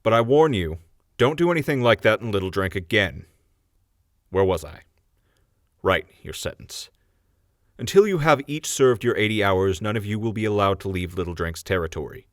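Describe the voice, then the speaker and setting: A man's voice: fairly low pitch, lively intonation, normal speed, no echo, clean studio sound, natural voice. A man voicing a stern town judge who speaks formally and scolds the defendants.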